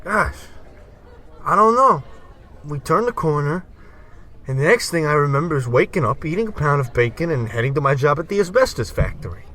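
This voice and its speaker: A man's voice: a little high, very animated, a little fast, bright, forceful tone, heavily acted. A man playing a slangy, wisecracking working-class barfly in an old-time comedy radio play. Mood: puzzled. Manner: slangy tough-guy patter. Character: wisecracking 1940s-style working-class barfly, excitable, easily panicked.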